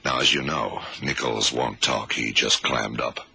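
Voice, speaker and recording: Very deep, gravelly detective. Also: male voice, fairly even intonation, bright, forceful tone, a little hiss or hum, full character voice. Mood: grave, explaining. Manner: deliberate, expository, authoritative. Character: shrewd male American blind detective explaining a case. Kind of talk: 1970s radio drama acting.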